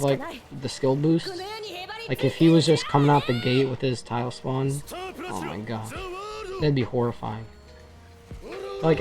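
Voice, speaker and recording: Gaming creator, mid-pitched voice, informal and rambling showcase commentary, music underneath. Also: darkish tone. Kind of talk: casual gameplay commentary.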